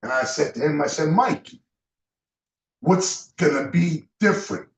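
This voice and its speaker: A man giving a loud, emphatic motivational sales speech to a roomful of guests, sometimes shouting to drive a point home. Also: fairly high pitch, measured pace. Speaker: business leader speaking at a recruiting event. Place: meeting room with an audience. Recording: echoey room, mic from far off.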